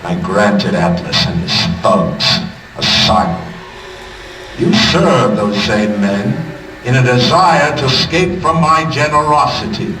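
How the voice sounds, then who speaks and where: An actor playing an imperious, menacing middle-aged tycoon in a video game, speaking in a measured, pompous, coldly formal way. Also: male voice, medium pitch, background noise, close to an everyday voice. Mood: cold disdain. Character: imperious, menacing male tycoon who rules a city. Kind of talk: dramatic video game villain speech. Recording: distant, echoing voice under music. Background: music.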